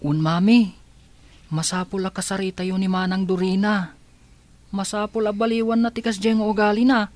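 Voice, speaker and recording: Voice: mid-range voice, little pitch movement, normal speed, a little mellow, close to an everyday voice. Voice actor as a polite worried young son, mid voice, Ilocano accent. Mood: concerned. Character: earnest young son of the family.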